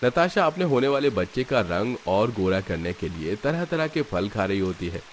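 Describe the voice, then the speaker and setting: Male narrator for cartoon tales: lowish voice, scripted storytelling, slight background noise. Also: big pitch swings, normal speed.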